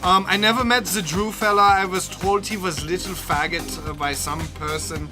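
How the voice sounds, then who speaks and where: A man's voice: high-pitched, steady tone, normal speed, over music, lightly acted. A man voicing a lewdly earnest German DJ with stilted, formal phrasing and a German accent in an improvised comedy monologue. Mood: formal, deadpan.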